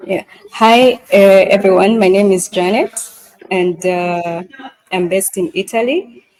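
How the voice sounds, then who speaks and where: A woman's voice: medium pitch, unhurried, a little mellow, poor audio. A woman from Uganda introducing herself on a video call, hesitant with many fillers. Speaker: scientist and panelist. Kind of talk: casual panel chat.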